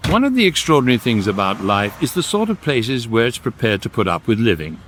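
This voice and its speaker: An actor playing a knowing guidebook narrator, droll and dry in scripted comic radio storytelling. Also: male voice, medium pitch, wildly swooping pitch, fairly quick, fairly bright tone, a little hiss or hum.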